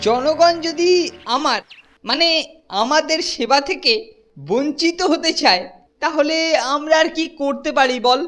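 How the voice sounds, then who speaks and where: A woman's voice: high-pitched, unhurried, pauses often, faint music behind. A woman voicing a bossy, cowardly older boy who leads a gang in a cartoon, blustering and ordering the others about. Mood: bossy, pompous. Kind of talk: cartoon voice acting.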